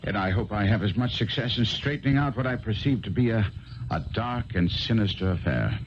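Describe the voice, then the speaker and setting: A man voicing a shrewd, unflappable detective in an old radio play, asking probing questions with dry irony. His voice is very low yet moves through a lively range. The broadcast sounds dull and a little hissy. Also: fairly quick, natural voice. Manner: calm, dryly ironic, probing questions. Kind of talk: 1970s radio drama acting.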